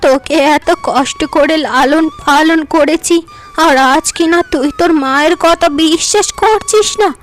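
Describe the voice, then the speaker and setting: A woman's voice: very high voice, a little slow. A woman voicing an elderly, kind village mother-in-law in a cartoon who defends her daughter-in-law. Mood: pleading, defiant.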